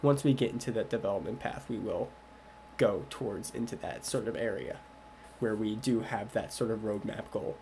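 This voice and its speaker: A man's voice: fairly low pitch, normal speed, creaky, darkish tone, slight background noise. A man who is a little sick, hosting a casual livestream Q&A about his game project and talking in a loose, rambling way. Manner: loose and rambling, with many fillers.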